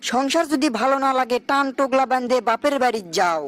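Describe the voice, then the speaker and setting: A very high-pitched cartoon husband from a village, acted out by a man, stingy and argumentative. He speaks with a northern Bangladeshi accent. His lines come as sing-song, taunting rhymes. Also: quick pace, a bit bright, a little hiss or hum. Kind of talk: comic dialect cartoon dialogue.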